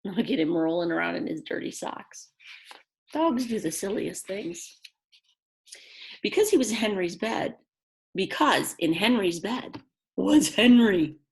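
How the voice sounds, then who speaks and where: A woman's voice: mid-range voice, big pitch swings, vocal fry. A woman reading a picture book aloud to young children, expressively and simply, with chatty asides to her listeners. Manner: slow, simple phrasing with dramatic emphasis and chatty asides. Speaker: children's read-aloud storyteller.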